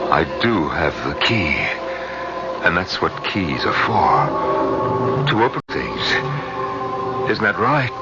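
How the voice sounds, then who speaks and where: An anguished husband losing his mind in a vintage radio mystery. His low voice swings dramatically as he talks to himself, on a dull recording with loud music underneath. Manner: theatrical, talking to himself. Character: unhinged, anguished husband losing grip on reality.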